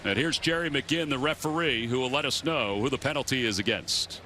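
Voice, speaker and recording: A man's voice: medium pitch, a little fast, rough recording. A man with an American accent doing live play-by-play football commentary as a sports announcer. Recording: loud stadium noise behind broadcast. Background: loud outdoor stadium ambience.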